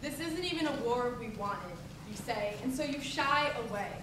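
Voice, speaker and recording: High-voiced young woman performing slam poetry. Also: expressive, moderate pace. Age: teens to young adult. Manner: emphatic, declamatory, rhythmic. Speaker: slam poet. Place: echoey venue at a high school showcase. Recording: echoey distant live recording, background noise.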